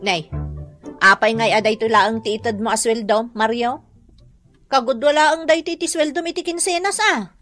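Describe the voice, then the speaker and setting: A woman's voice: fairly high pitch, normal speed, a bit bright, soft background music, close to an everyday voice. A woman playing a whiny, indignant, debt-ridden married daughter in an Ilocano-accented radio comedy drama, exclaiming melodramatically.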